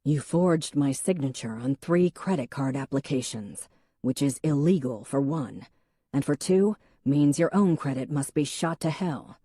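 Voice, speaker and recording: A woman's voice: very deep voice, lively intonation, dark tone, husky, no room sound, studio quality, heavily acted. A woman voicing an earnest husband who reasons firmly with his wife. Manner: firm, measured, reasoning.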